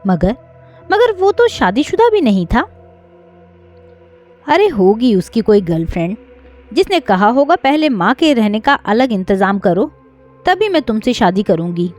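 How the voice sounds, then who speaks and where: A woman's voice: on the high side, very animated, measured pace, long pauses, a little mellow, faint music behind. A woman voicing gossipy, suspicious elderly residents of an old-age home in a story. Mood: suspicious, scornful. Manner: questioning, gossipy.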